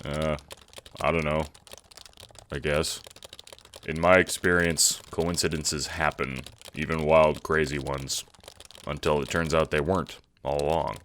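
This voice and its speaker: Someone doing a deadpan sarcastic teen boy, really deep voice, irritable, audio drama, bit noisy recording. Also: measured pace, pauses often, heavily acted. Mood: deadpan, jaded. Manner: deadpan, foul-mouthed. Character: jaded, sarcastic, irritable teenage boy gamer. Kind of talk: audio drama character acting.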